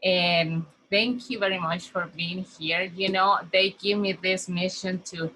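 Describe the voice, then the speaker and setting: A middle-aged woman with a Spanish accent, a native Spanish speaker, giving a heartfelt welcome speech at a conference over a video call, slow and earnest with frequent pauses and fillers. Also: lowish voice, steady tone, bright, forceful tone, slight background noise, rough recording. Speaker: conference speaker, community health worker.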